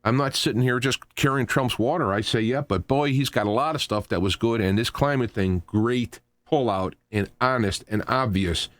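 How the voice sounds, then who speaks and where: A middle-aged or older American man hosting a radio talk show, delivering an unscripted political rant in an animated, argumentative, emphatic way. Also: mid-range voice, moderate pace, few pauses, no echo.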